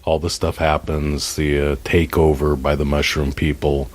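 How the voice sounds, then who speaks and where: A man's voice: a bass voice, flat delivery, moderate pace. A man speaking as a podcast guest, chatting casually about films in a joking, self-deprecating way. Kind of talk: casual film chat. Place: his home theater.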